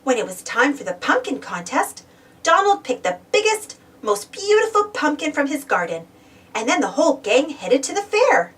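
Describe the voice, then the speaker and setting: High, very animated woman storyteller. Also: moderate pace. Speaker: storybook reader. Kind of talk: animated children's read-aloud narration. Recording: slightly distant mic, music bed. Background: music.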